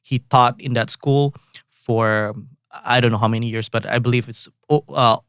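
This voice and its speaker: A man with an Indonesian accent, speaking in a medium pitch as a guest on an interview podcast. He talks haltingly, with frequent fillers and self-corrections. The recording is dry and close. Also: steady tone, a bit bright, clear, resonant tone, no echo. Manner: halting, many fillers and restarts. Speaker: guest composer. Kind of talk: casual interview chat.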